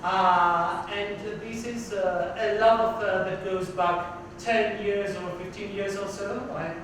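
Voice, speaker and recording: Male lecturer, high pitch, hesitant and loud, far-off mic, noisy reverberant hall. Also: steady tone, slow. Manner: hesitant, many uhs and restarts; projects loudly for the room. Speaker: visiting university professor. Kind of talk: lecture to students. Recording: very echoey hall, distant, noisy.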